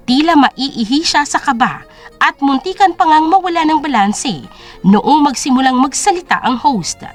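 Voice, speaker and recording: A woman narrates a scripted drama as storyteller. Her voice is very high and widely varied in melody, with faint music playing underneath.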